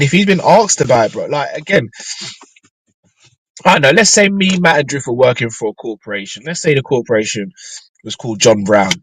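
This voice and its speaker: A man hosting a live fan show from inside his car, his voice still a little croaky from a flu, chatting loosely and thinking aloud with ums and restarts. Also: medium pitch, dry recording, rough recording. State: slightly croaky after flu. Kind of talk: casual live-stream chat.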